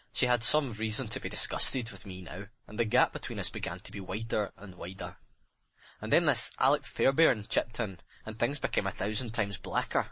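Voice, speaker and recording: A man's voice: fairly low pitch, sharp tone, slightly rough, no echo. A man playing a bitter, remorseful sailor making a brooding, emphatic confession in a dramatic audiobook reading.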